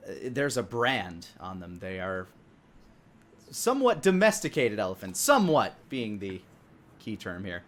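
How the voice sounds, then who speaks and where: A man narrating a tabletop fantasy game as dungeon master, explaining things in a somewhat hesitant, improvised way. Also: mid-range voice.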